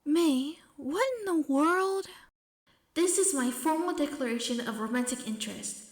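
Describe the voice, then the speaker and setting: A woman's voice: high voice, a little slow, voice pushed up. A woman voicing an earnest teenage girl in a story, speaking in a formal, declaratory way through a reverb effect. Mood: earnest, bold. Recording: echoing, long hall-like reverb.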